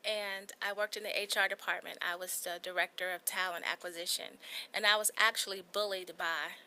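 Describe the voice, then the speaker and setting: A woman's voice: fairly low pitch, steady tone, a little fast, bright, forceful tone. A woman in her forties with a small, light voice, telling her own story as a guest on a talk show, earnest and candid. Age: 40s.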